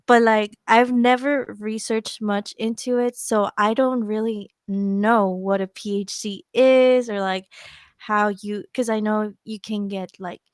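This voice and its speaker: Smooth-voiced young woman hosting a podcast. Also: medium pitch, fairly even intonation, a little slow, dry recording, clean studio sound. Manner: friendly, rambling, filler-heavy questions. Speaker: podcast host. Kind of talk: casual podcast interviewing.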